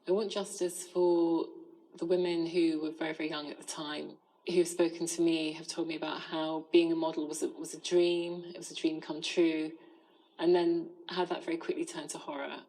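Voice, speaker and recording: A woman gives personal testimony as a news interviewee. Her voice is low and flat in intonation, and she speaks haltingly, repeating words. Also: low-quality recording. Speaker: interviewee, former journalist.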